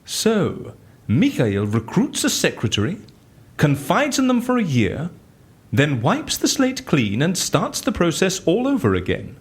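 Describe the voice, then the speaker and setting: A man's voice: a little high, wildly swooping pitch, a little slow, exaggerated voice. An actor playing a cocky, inquisitive male professional in an audio drama, theatrical and probing in his questions.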